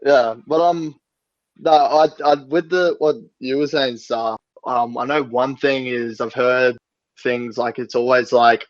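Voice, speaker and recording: A young man with an Australian accent, chatting in a halting, informal way on a group podcast. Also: medium pitch, fairly even intonation, dry recording. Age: young adult. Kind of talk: casual group podcast chat. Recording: slightly dull, lo-fi audio.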